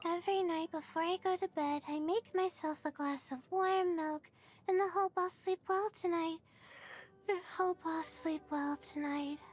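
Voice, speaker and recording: A woman's voice: very high-pitched, fairly even intonation, moderate pace, faint music behind. A woman playing a small, earnest, childlike girl in a video game. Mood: hopeful. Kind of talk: scripted game character lines.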